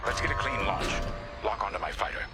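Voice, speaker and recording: An actor playing a disciplined, cautious squadron leader in a space combat game, giving brisk, instructive orders. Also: male voice, deep voice, fairly even intonation, over loud music. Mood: businesslike.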